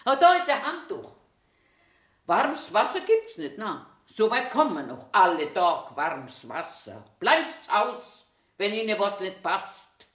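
A woman's voice: medium pitch, sing-song, takes it slowly, fairly bright tone. A woman in her eighties with a Bavarian accent, playing a cranky, eccentric old washroom attendant grumbling to herself in a theatrical monologue. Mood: grumpy, defiant. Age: 80s. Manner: grumbling self-talk, swinging between scolding and muttering. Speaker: actor.

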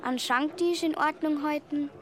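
A woman's voice: high-pitched, fairly even intonation, a little slow, a bit bright. A woman playing a naive girl who recounts her poor rural childhood in a radio drama. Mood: plain recounting.

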